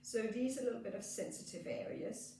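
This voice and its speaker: A woman with a Danish accent, a skin therapist gently explaining a treatment as she works, with frequent fillers. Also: fairly high pitch, a little fast, far from the mic, slight background noise. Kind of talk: treatment demonstration. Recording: echoey room, off mic.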